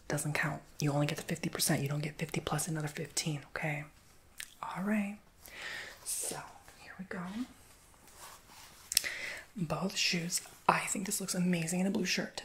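A woman in a whispered ASMR roleplay as a shoe shop clerk, breathy and chatty, with playful, slangy asides to her customer. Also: lowish voice, slight background noise.

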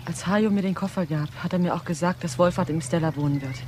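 A woman's voice: fairly low pitch, fast talker, a little mellow, lightly acted. An actress playing an anxious, defensive woman being questioned in a German crime radio drama. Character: anxious woman under questioning, a gangster's mistress. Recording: radio drama with background ambience noise.

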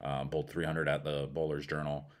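A man's voice: very deep voice, level tone, a little fast. A middle-aged man with an American accent gives a casual product review in a blunt, colloquial way. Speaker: bowling ball reviewer.

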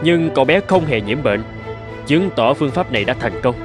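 A man's voice: mid-range voice, expressive, fairly quick, natural voice. A man playing an earnest, caring country doctor in a cartoon dub, serious and concerned. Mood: earnest, explaining. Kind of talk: cartoon dub with first-person storytelling. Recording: music under the voice.

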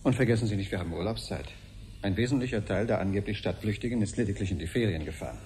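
An actor playing an evasive, unflappable ambassador, speaking in an official, placating manner in a 1970s radio drama. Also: male voice, lowish voice, very fast, darkish tone, close to an everyday voice. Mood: glib, placating. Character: smooth-talking, evasive male ambassador, unflappably dismissive. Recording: 1970s radio production, faint background noise.

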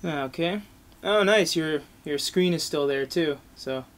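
A man's voice: on the high side, measured pace. A man chatting casually and in an easygoing way as he demonstrates a product as video host.

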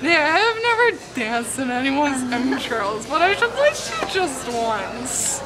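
A woman's voice: sits high, sing-song, voice pushed up, exaggerated voice, low-quality recording. Woman playing a melodramatic, boastful romance-novel knight, crowd behind. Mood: grandiose, vengeful. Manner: grandiose, drawn-out, melodramatic. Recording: busy public space with loud crowd murmur.

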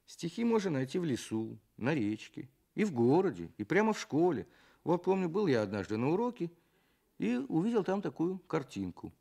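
A man telling stories and reciting children's poems in an expressive, theatrical way, as a writer on a programme for children. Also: medium pitch, creaky. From Russia.

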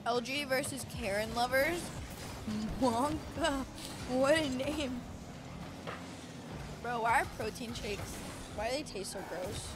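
A woman in her twenties chatting casually on a live stream, reading and answering chat with excitable bursts. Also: sits high, slow, pauses often, background noise. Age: 20s. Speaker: esports co-streamer. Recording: game music under the voice. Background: game music and car sounds.

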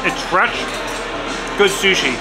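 A man's voice: high voice, expressive, slow, poor audio. A man in his thirties giving a casual, enthusiastic food review at a restaurant table, describing each dish in detail. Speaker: food vlogger.

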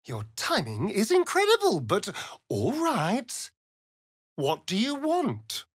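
A man's voice: sits high, huge pitch swings, slow pace, breathy edge, no echo, heavily acted. Sardonic ancient vampire, arch and theatrical, video game style, takes his time, close dry sound. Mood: smug. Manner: arch, drawling, flamboyant delivery.

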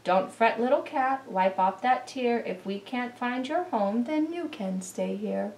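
A woman's voice: fairly high pitch, lively intonation, a little slow. A woman voicing a kindly, sentimental old lady from a storybook, speaking earnestly in rhyme. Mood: gently earnest. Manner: gentle, earnest, rhyming speech. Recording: roomy, distant camera recording.

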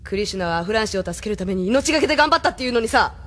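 Casting: sharp-tongued young woman, brash, quick delivery, very lively pitch, radio-play style. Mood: reproachful. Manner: sharp-tongued, accusing, informal. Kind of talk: Japanese audio drama acting.

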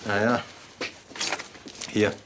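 A man's voice: very slow, noisy background. A middle-aged man playing a caring, tactful school staff member in a TV drama. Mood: caring, calm. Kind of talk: TV drama acting. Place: small indoor sickroom set.

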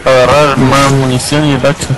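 A man's voice: medium pitch, flat delivery, normal speed. A man with a Chilean accent chatting casually and slangily with friends during a co-op game. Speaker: gamer. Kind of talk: casual co-op gaming banter with friends. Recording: noisy voice chat with game sound. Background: zombie shooter game noise.

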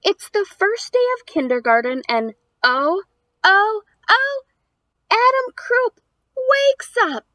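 A woman's voice: very high voice, animated, takes it slowly, bright, forceful tone, no room sound. A woman in her thirties speaking as a preschool teacher to young children, in an exaggerated sing-song read-aloud delivery. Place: outdoors on a house porch.

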